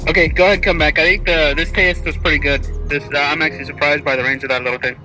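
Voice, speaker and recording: A middle-aged man talks casually during a radio check. His voice runs a little higher than usual for a man, and music plays behind him. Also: steady tone, quick pace. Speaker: father running a radio test. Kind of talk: casual chat over handheld radio.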